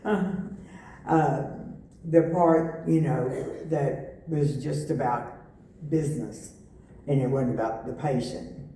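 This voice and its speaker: A middle-aged woman giving a personal testimony, halting with many fillers and restarts. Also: extremely low pitch, warm tone. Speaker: testimony speaker. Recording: echoey room, voice from far off.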